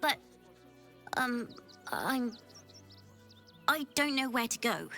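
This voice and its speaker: A meek little girl lost in a video game world. Her voice is high and slow, and she speaks with timid hesitation. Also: animated, vocal fry, bright, forceful tone, soft background music, heavily acted. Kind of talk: video game character dialogue.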